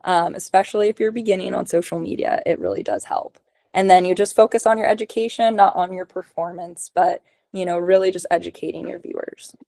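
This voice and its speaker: A woman giving a casual webinar presentation on a video call, running through tips as lists with many ums and likes, her voice going hoarse. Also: lowish voice, fairly even intonation, fairly quick, warm tone, dry recording, studio quality. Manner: casual, lots of ums and likes, list-like delivery. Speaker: podcast production consultant.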